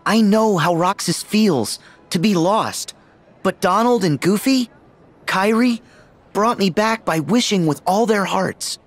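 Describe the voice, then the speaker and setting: A man's voice: high-pitched, slow, full character voice. A man voicing an earnest, upbeat teenage boy hero in a video game. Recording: music under the dialogue.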